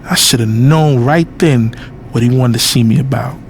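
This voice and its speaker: A man's voice: mid-range voice, moderate pace, slight background noise, natural voice. A man playing a cocky, lovestruck adult son, telling his own story candidly and intimately in an audio drama. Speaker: narrator and lead character. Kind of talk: first-person audio drama narration and dialogue.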